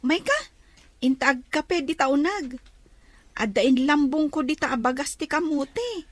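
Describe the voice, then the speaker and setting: High-voiced meek teen girl. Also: lively intonation, measured pace, no echo. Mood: friendly. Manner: soft, pleading, often tearful. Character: gentle teenage granddaughter, meek and hurt. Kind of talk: radio drama acting.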